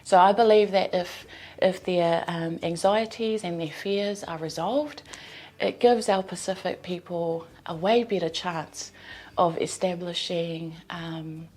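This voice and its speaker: A young woman from New Zealand speaks in a slightly low voice, earnest and measured. She describes her community work, with the odd filler as she finds her words. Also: a little mellow. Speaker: community connector and mental health worker. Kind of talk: scripted introduction to camera.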